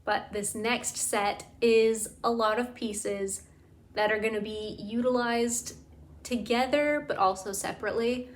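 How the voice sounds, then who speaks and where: A woman vlogging in a casual, chatty way about bath products, her voice tired and a bit raspy from talking. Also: a little high, fairly even intonation, a little slow. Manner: enthusiastic, gushing, lots of "like". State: tired, slightly raspy throat. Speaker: beauty and scent vlogger. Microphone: camera mic.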